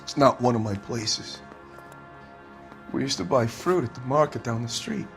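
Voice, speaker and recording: An actor playing a defensive, hot-tempered husband under police questioning in a crime video game, answering bluntly. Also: male voice, mid-range voice, moderate pace, soft background music, close to an everyday voice. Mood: sullen. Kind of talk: acted dramatic video game dialogue.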